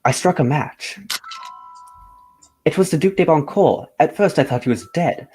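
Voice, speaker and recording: An actor playing a composed, courteous gentleman detective in a radio drama, speaking in a formal, measured way. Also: a little high, faint music behind, natural voice. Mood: earnest recounting.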